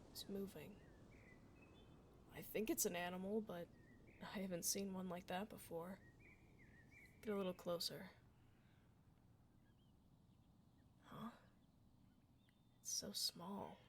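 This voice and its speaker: A woman's voice: mid-range voice, a little hiss or hum. A woman playing a blunt, kind tomboy dullahan adventurer in a casual, reassuring fantasy ASMR roleplay. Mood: curious, baffled. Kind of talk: ASMR fantasy roleplay, close conversational acting.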